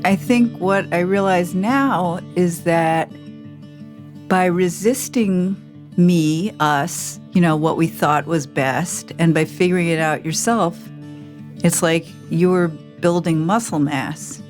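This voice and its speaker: Lower-pitched middle-aged American woman. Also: slow, a little mellow, faint music behind. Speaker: podcast co-host interviewing her grown child. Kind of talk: reflective interview and narration.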